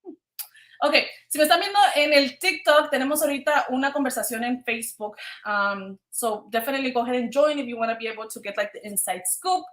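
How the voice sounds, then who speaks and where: A woman's voice: high voice, a little fast, fairly bright tone. A Mexican woman hosting a casual livestream, chatting informally with viewers and switching between two languages. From Veracruz, Mexico. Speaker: livestream host. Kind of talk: casual live chat with viewers. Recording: roomy, echoing livestream sound.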